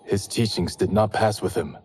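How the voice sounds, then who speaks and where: A man's voice: lowish voice, normal speed, a little mellow, a little hiss or hum, lightly acted. An actor playing an earnest, dutiful young male warrior in a game cutscene. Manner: earnest, principled. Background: orchestral game music.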